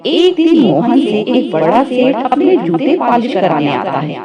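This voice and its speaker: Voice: mid-range voice, sing-song, a little slow, over a noisy crowd. A narrator telling a scripted moral story in a storytelling style.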